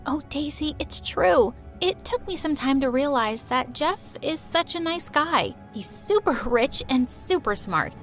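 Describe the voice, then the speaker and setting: A gentle teenage girl with a soft, pleading way of talking, as a woman puts her on. Her voice is high and bouncy, with light music behind her in an animated story. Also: measured pace, few pauses. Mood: stubborn. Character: gentle teenage girl, kind best friend.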